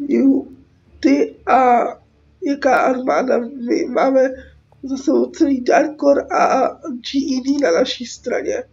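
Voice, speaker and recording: A woman's voice: high-pitched, creaky, darkish tone. A Czech woman with a cold giving casual, sweary game commentary, reading out dialogue and reacting with exasperation. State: sick with a cold. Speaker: gaming YouTuber. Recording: muffled mic with background noise.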